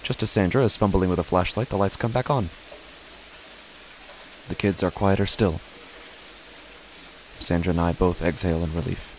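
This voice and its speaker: A man plays a father narrating a first-person horror tale. His voice is very low and he speaks in deliberate, short phrases. A faint hiss lies behind the words. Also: darkish tone, lightly acted, low-quality recording. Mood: tense. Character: father narrating his family's uneasy stormy night.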